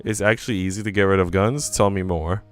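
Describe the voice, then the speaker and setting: A man's voice: deep voice, steady tone, fast talker, faint music behind, close to an everyday voice. A man voicing a menacing, mocking stepmother from a nightmare in a visual novel. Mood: cold, mocking. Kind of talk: visual novel dialogue reading.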